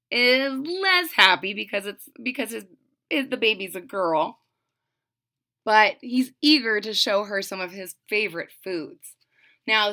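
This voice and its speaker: A woman reads a picture book to children with plenty of expression, pausing to explain. Her voice is bright and lively, and she takes her time. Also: medium pitch. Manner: expressive, explaining to young listeners. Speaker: storybook reader. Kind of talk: children's read-aloud with asides.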